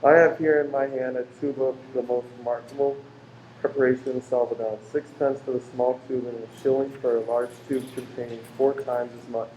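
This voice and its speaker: A man's voice: mid-range voice, level tone, a little fast, darkish tone, lightly acted. Man playing an echoey, pushy street salesman. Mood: declamatory. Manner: declamatory, bossy, insistent. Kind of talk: radio play acting, sales patter. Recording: echoey room with faint noise.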